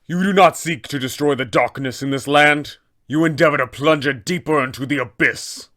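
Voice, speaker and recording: A man voicing a booming fallen angel abbot. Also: bright, forceful tone. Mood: righteous. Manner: slow, booming, proclamatory delivery. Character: fallen angelic male abbot, solemn and grandiose.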